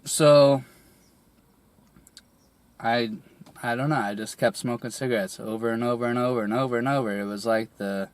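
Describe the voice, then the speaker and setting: A young man getting over a cold, his voice a little raspy, telling a personal story slowly with many pauses, fillers and throat clearing. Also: mid-range voice, steady tone, no echo. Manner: hesitant, rambling, many fillers and restarts, clears throat often. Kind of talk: candid personal monologue.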